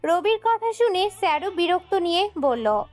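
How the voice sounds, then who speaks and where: A woman with a very high voice narrates a dramatic audio story from a script. Soft music runs behind her narration. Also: big pitch swings, moderate pace.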